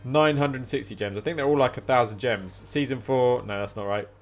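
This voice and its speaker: A man with a medium-pitched voice chats casually while streaming a game. He thinks aloud, reads menus out and puts questions as he goes, with guitar music playing behind him. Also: brisk. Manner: thinking aloud, questioning, reading menu items out.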